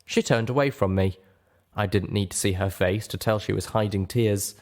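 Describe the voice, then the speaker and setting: A man's voice: on the low side, moderate pace, darkish tone. A man reading scripted first-person fiction as an audiobook narrator.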